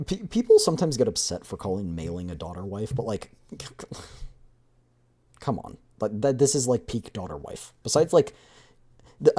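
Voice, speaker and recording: A streamer chatting casually in a rambling, repetitive, excitable way while doing a voiced readthrough of a game story. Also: low-pitched, husky.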